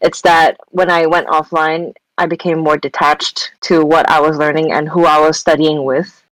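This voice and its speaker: A woman's voice: sits low, little pitch movement, normal speed, no room sound, poor audio. A young woman from Malaysia, a student guest on a casual podcast, answering thoughtfully with fillers like um and like. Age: young adult. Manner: reflective, with fillers like um and like. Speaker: guest, student society president.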